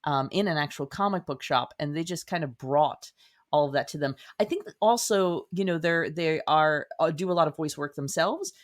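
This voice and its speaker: A woman chatting casually as an interview guest, telling things in an enthusiastic, expressive way. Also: low-pitched, moderate pace. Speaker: guest writer. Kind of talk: casual chat.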